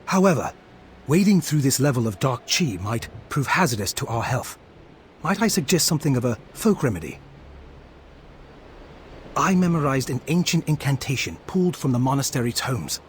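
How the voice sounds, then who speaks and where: Male actor as learned game mystic, eloquent, formal, mid-pitched, very expressive intonation. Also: moderate pace, a little hiss or hum, close to an everyday voice. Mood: courteous. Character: learned, wry, courteous male mystic ally. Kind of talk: scripted fantasy game dialogue.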